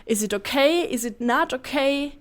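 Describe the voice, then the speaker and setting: A young woman in her twenties speaks with an Austrian accent. Her voice is high, and she delivers a prepared listener message in careful speech. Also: moderate pace. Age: 20s.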